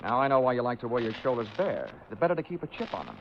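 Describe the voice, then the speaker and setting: A cool private investigator with a medium-pitched voice and deadpan, wisecracking delivery. It is old radio detective acting, on a slightly dull vintage recording. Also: male voice, rapid-fire, slight background noise. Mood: cool, sardonic. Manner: deadpan, sardonic, crisp wisecracks. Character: wry, hard-boiled insurance investigator, cool male wisecracker.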